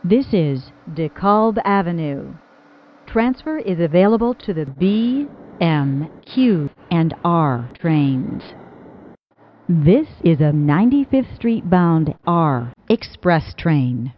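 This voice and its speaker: A woman gives recorded transit announcements in a fairly low voice. Her pitch moves noticeably between phrases, and her delivery is very unhurried. The clips sound slightly muffled and lo-fi. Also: sing-song, a little hiss or hum. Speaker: subway train announcer. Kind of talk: scripted automated transit announcements, spliced phrases.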